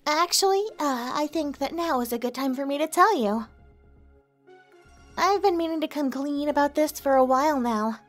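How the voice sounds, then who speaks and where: A woman's voice: high voice, normal speed, soft background music, natural voice. A woman playing a sweet, clingy, possessive young neighbor in love, coyly addressing the listener in an ASMR roleplay. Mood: lovestruck, possessive. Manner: coy, sugary, insinuating, hesitant.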